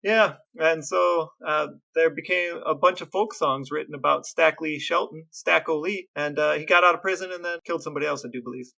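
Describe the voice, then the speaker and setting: Guy on a chatty podcast, highish voice w lots of fry, deadpan, little music behind. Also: a little fast, hardly any pauses. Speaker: podcast co-host. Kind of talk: casual podcast talk with read-out questions.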